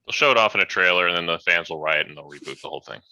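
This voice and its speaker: A man's voice: low voice, racing, gravelly, bright, forceful tone. A man co-hosting a casual chat, tossing out sardonic jokes among friends. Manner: sardonic, joking. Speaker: podcast co-host. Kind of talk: casual banter.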